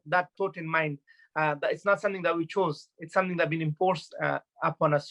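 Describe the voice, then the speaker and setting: Fast-talking man from Afghanistan, earnest. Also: a little high, a bit bright. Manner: earnest, reflective, with frequent fillers and restarts. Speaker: guest speaker. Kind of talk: personal talk at an online event.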